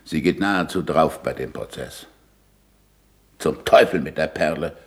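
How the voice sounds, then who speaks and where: An actor playing a blunt, sardonic, impatient older scientist in a 1960s German radio drama, with emphatic theatrical delivery. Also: male voice, very deep voice, a little slow. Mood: gruff, didactic.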